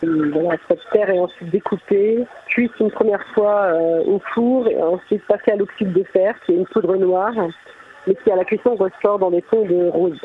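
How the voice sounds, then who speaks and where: A woman, an artist explaining her work in a conversational radio interview, pausing often as she searches for words. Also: medium pitch, a little hiss or hum. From Mamers, France. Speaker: visual artist, interview guest. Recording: muffled phone-like narrow band.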